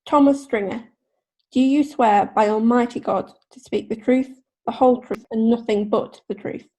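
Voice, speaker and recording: Actress as a dry-witted court clerk, mellow and slightly high, radio drama. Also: female voice, moderate pace, close to an everyday voice, low-quality recording. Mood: formal. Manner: dry, punning formal delivery. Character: witty female court clerk.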